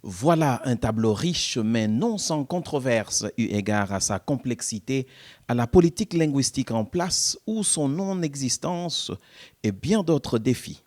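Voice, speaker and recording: A man with a mid-pitched voice narrates a report. His delivery is scripted and formal, in the manner of a news reporter. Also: expressive, a little slow, a little mellow. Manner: formal, literary phrasing.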